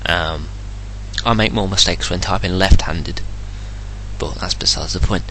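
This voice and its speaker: A man's voice: low voice, measured pace, bright, forceful tone, slight background noise, rough recording. An English man ranting indignantly and bluntly in a solo vlog, swearing freely. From England. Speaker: vlogger.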